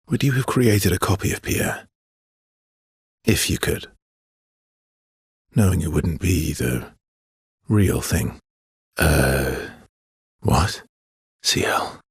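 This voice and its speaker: Husky, brooding, gentle man. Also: big pitch swings, no echo, studio quality, exaggerated voice. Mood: somber, subdued. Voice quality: husky, gravelly low voice. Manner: understated, halting, intimate delivery. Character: brooding, gently teasing, guilt-worn man, hesitant and tender. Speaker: video game voice actor. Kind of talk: naturalistic video game character dialogue.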